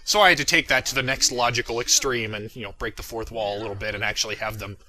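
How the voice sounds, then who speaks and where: Very bright-voiced man, casual commentary. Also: mid-range voice, fast talker. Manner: self-deprecating, irreverent, swears freely; often chuckling as talks. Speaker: creator giving commentary on own fan parody series. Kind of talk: casual unscripted video commentary.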